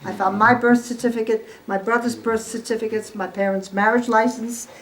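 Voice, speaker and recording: A woman's voice: fairly high pitch, fairly even intonation, moderate pace, fairly bright tone, poor audio. An American woman in her eighties giving informal, emphatic spoken testimony to guests at home, often putting questions to her listeners. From born in Poland, raised in the United States. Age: 80s. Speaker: Holocaust survivor giving testimony. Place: at home, room with gathered guests.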